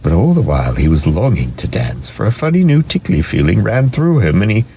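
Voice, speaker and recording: A man giving a dramatic storybook narration in an expressive, deliberate way. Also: fairly low pitch, a little hiss or hum.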